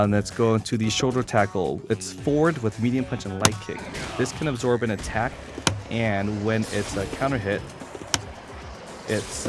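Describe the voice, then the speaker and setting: A man giving a casual, informal video game tutorial, explaining things step by step with blunt asides. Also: fairly low pitch. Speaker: competitive gamer presenting a character guide. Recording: voice over loud game music. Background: video game music.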